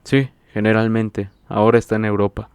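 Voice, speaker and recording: A man voicing a reserved young man who answers in terse, quiet replies. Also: lowish voice, flat delivery, brisk, smooth, no echo, close to an everyday voice. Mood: guarded.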